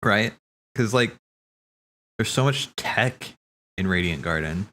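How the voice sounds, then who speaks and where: A man streaming casual, rambling theory commentary, thinking aloud haltingly, correcting himself and swearing casually. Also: lowish voice, lively intonation, pushed and bright, a little hiss or hum.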